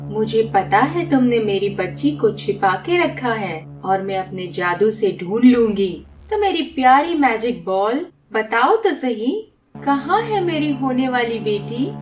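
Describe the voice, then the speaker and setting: Wicked, possessive fairy-tale witch: high-pitched, very slow and theatrical, for a cartoon. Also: big pitch swings, distant mic, music in the background. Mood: gloating, scheming. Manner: theatrical, drawn-out words with cackling laughter. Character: wicked, possessive witch posing as doting mother.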